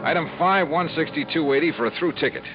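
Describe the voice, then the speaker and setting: A man's voice: a little high, close to an everyday voice. An actor playing a wry, hard-boiled male investigator narrating his own case in a 1950s radio drama. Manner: wry, clipped, fast-talking, hesitant when flirting. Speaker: narrator and lead. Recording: dull lo-fi old radio recording with music.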